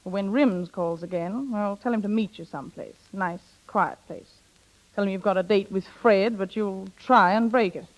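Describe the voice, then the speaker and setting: An actress playing a scheming, playful older sister in brisk, knowing 1930s radio drama style. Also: female voice, mid-range voice, lightly acted, low-quality recording. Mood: bossy. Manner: brisk, knowing, theatrical, coaxing. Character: bossy, scheming, playful older sister coaching younger one. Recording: vintage 1930s radio broadcast.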